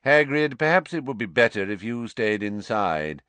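A man's voice: medium pitch, very animated, moderate pace, a bit bright, no room sound. A man voicing an officious committee official in an audiobook, formal and reedy. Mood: brisk.

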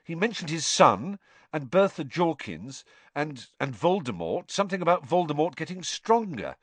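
A man's voice: a little high, sing-song, moderate pace, no echo, voice pushed up. A man voicing a panicked, breathless, insistent teenage boy wizard in an audiobook, urgent and stumbling over words. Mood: anxious, hurried.

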